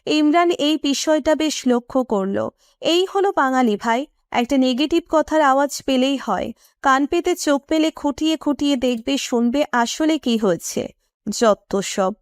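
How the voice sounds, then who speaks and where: A woman's voice: high voice, dry recording, studio quality. A woman narrator reading a romantic story in scripted storytelling style.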